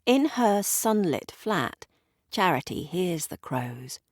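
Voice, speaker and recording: A woman narrating a literary audio drama in a deliberate, dramatic storytelling manner. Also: lowish voice, sing-song, no echo, clean studio sound.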